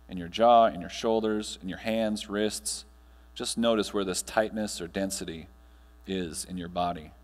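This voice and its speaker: An American man in his thirties speaking as a trainer to an audience, guiding them in a measured, instructional way with deliberate pauses. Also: on the low side, long reverb tail. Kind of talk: guided breathing meditation and talk to an audience. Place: large hotel conference ballroom, on stage.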